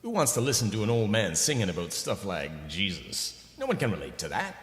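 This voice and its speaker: A man acts out a slick, greedy record boss from a comic radio play. His pitch leaps all over as he pushes and wheedles like a salesman. The sound is echoey, with long reverb. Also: sing-song. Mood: pushy, excited. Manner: fast-talking salesman patter with wheedling asides. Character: slick, pushy, greedy male record company executive.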